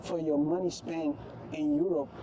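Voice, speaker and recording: A man's voice: a little high, huge pitch swings, normal speed, creaky, background noise. A man hosting a casual interview, often repeating his guest's words and exclaiming. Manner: echoes the speaker's words, frequent exclamations. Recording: background music.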